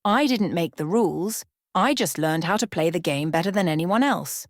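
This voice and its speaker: A woman's voice: lowish voice, expressive, normal speed, no room sound, studio quality. Woman voicing an arrogant crime boss. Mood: scornful. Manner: scoffing, barking, irritated. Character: arrogant middle-aged male crime boss.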